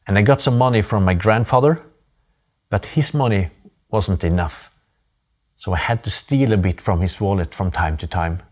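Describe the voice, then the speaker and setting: A man in his forties from Norway with a Norwegian accent, giving an earnest, deliberate personal story talk with pauses for emphasis. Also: fairly low pitch.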